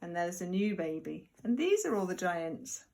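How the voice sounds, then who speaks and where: A woman reading a picture book aloud to young children like a primary teacher, expressive and deliberate, with chatty asides. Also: fairly low pitch, gravelly, a little hiss or hum. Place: at home.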